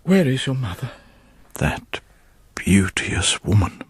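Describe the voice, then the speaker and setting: A man's voice: lowish voice, very animated, slow pace, slightly rough, heavily acted. An actor playing an anguished elderly monk and father who pleads in formal, theatrical speech in a gothic radio drama. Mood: grieving pleading.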